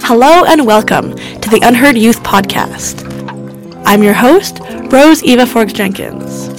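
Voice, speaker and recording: A woman host reads a welcoming scripted intro to a podcast. Her voice sits a little high. Soft music plays underneath. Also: lively intonation, a little slow, rough recording.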